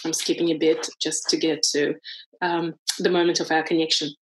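A woman's voice: low voice, level tone, normal speed, poor audio. A woman with a heavy foreign accent, reading from her own book and then talking it over thoughtfully, with restarts. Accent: foreign accent in English. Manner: thoughtful, searching for words, frequent restarts. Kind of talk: reading aloud, then conversational interview.

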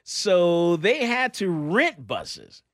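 A radio host, ranting and laughing in animated talk-show chat. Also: mid-range voice, drawn-out words, no room sound, studio quality. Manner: excitable, ranting, laughs often.

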